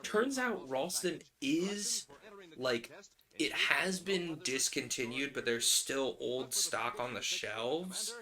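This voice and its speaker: Bright-voiced man chatting casually over handiwork. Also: medium pitch, expressive, slow pace, rough recording. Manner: wry, rambling, playful asides. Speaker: livestream host. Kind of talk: casual chat while hand sewing.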